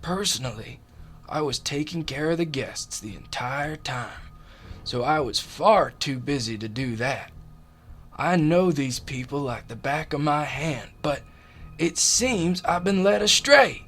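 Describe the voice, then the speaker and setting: A man playing a dutiful, regretful butler in a radio drama, measured and formal. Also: on the high side, expressive, a bit bright, soft background music, exaggerated voice. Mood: earnest, defensive. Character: apologetic, dutiful butler, ashamed of his oversight.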